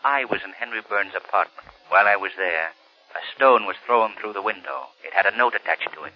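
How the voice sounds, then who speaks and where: An actor playing a mysterious vigilante in a 1930s radio drama, measured and coolly self-assured, as if over a telephone. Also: male voice, lowish voice. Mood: cool, taunting. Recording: muffled lo-fi 1930s radio recording.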